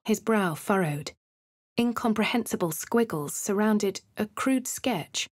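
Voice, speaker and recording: A woman voicing a posh young female adventurer with an upper-class English accent, delivering crisp game lines and narration. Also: medium pitch, no room sound. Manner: crisp refined delivery, shouts spell incantations. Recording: dry studio voice booth.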